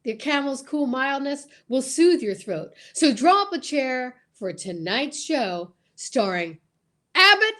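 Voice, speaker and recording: A woman playing a grand old-time radio announcer, selling in a deliberate, drawn-out 1940s style. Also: high voice, very animated, a bit bright, full character voice. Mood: grand salesmanship. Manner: slow, drawn-out, deliberate salesmanship.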